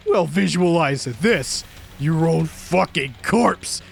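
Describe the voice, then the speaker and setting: A man's voice: a little high, big pitch swings, unhurried, creaky, fairly bright tone, voice pushed down, heavily acted. A man voicing a menacing, foul-mouthed male thug producer in a game dub, snarling threats. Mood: enraged.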